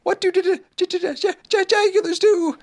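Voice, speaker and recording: High, stammering, nervous little piglet. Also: steady tone, moderate pace, vocal fry. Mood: nervous, fearful. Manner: heavy stammer on first sounds.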